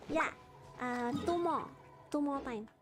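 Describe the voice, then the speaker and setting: A Malaysian woman with a Malaysian accent, chatting casually in short clipped phrases while she plays a game on a livestream. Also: high-pitched.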